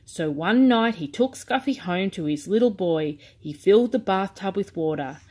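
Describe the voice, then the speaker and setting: A woman reads bedtime stories aloud for small children. Her voice sits at a medium pitch, and she phrases the scripted narration simply and deliberately. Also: lively intonation, darkish tone. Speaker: children's story reader.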